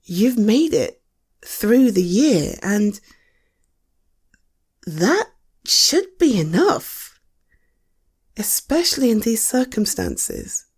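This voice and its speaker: A British woman playing a caring, supportive partner in an intimate conversational audio roleplay, speaking softly with a gentle, hesitant, coaxing manner. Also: medium pitch, wildly swooping pitch, drawn-out words, pauses often, husky, heavily acted. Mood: tender, consoling.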